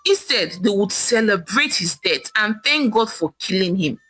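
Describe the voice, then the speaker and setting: A woman with a Nigerian accent telling a folktale as an expressive narrator. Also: mid-range voice, moderate pace, no echo. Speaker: storyteller. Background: soft music.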